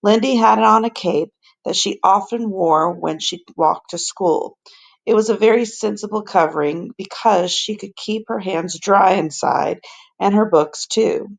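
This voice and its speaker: A woman reading a children's story aloud like a teacher, with measured, deliberate, expressive narration. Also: mid-range voice, creaky.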